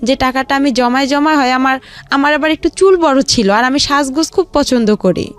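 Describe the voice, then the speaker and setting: A woman's voice: high-pitched, normal speed. A woman telling her life story informally as an interview guest, rambling along step by step. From Rangpur region, Bangladesh. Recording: music behind the voice. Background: background music.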